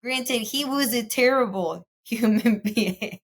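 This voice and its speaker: A young woman co-hosting a podcast in casual chat, laid-back and quick to laugh. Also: on the high side. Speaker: podcast co-host.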